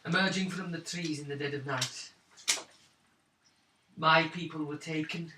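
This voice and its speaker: A man voicing a shaken, wounded young woman of a wandering clan in a tabletop roleplaying game, speaking haltingly and wearily. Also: a little high, fairly even intonation, close to an everyday voice. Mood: grave, shaken. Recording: roomy, hissy tabletop recording, distant mic.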